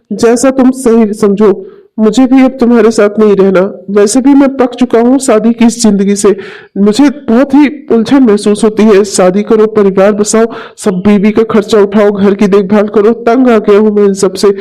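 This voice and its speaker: A selfish young husband who wants out of his marriage, voiced by a man, explaining himself in a flat, dismissive tone. The voice sits very high but sounds mellow and dark, in a homemade audio drama. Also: normal speed, lightly acted, rough recording. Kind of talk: amateur audio drama acting.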